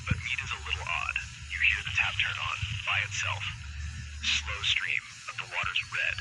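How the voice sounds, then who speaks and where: A man playing a grim, secretive narrator of an eerie horror game, reading in a hushed, ominous way. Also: moderate pace, close to an everyday voice, poor audio. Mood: hushed dread. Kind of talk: scripted second-person horror narration.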